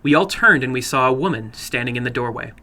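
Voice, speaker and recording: A man narrating a horror story dramatically, in a deliberate, suspenseful manner. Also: medium pitch, lively intonation, pushed and bright. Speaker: horror fiction reader and host.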